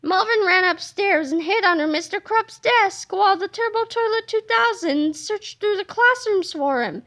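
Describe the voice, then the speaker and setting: High, bright woman reading a storybook. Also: moderate pace. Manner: expressive storytelling delivery. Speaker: reader. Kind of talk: children's book read-aloud.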